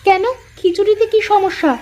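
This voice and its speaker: A woman's voice: very high-pitched, fairly even intonation, moderate pace, darkish tone. A woman voicing a dutiful young village daughter-in-law who stands her ground, in a Bengali cartoon story. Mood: defensive.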